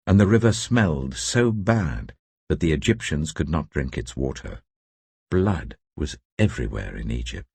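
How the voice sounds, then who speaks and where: Very deep, husky man narrating scripture. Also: no room sound. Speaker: audio Bible narrator.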